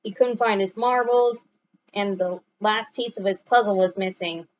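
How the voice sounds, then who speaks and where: A woman narrating a children's story aloud in an expressive storytelling manner. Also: medium pitch, moderate pace, muffled recording. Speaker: storybook reader.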